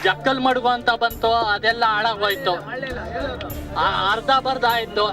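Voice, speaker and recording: High-voiced man grumbling amid street crowd. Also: fairly even intonation, moderate pace, over loud music. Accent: southern Karnataka Kannada. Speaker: local resident.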